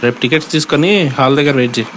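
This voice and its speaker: A man's voice: medium pitch, fairly even intonation, racing, noisy background, natural voice. An actor playing a sarcastic young college student who teases and mocks his friend in a comedy audio drama. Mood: teasing. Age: young adult. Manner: dry, mocking banter. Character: sarcastic, teasing young male college sidekick.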